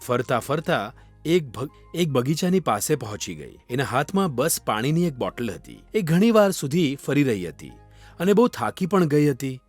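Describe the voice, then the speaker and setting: A man's voice: medium pitch, sing-song, normal speed, dry recording. A man narrating a scripted children's fairy tale as a storyteller. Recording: music under the voice. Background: background music.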